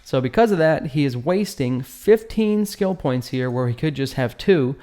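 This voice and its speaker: Man, medium pitch, gaming host, casual explanatory commentary, informal joking slang, frequent tag questions. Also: expressive, normal speed, warm tone. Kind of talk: casual gameplay build breakdown commentary.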